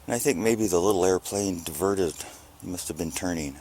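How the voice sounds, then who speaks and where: A man's voice: sits low, a little fast, mellow, a little hiss or hum. A man giving a rambling, off-the-cuff monologue as a vlogger, with a sarcastic, mock-earnest manner.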